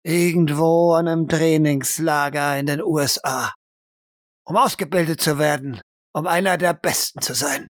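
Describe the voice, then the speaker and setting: A man's voice: fairly high pitch, fairly even intonation, moderate pace, no echo, clean studio sound. A man narrating an audio role-play as game master, dramatically and intensely, in short repeated phrases. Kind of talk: dramatic second-person narration.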